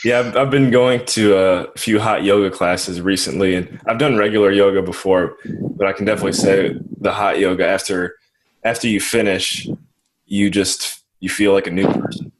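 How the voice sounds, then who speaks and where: A man hosting an interview, asking questions and encouraging his guest with quick agreement. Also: low voice, steady tone, background noise. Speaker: interview host. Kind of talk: conversational interview.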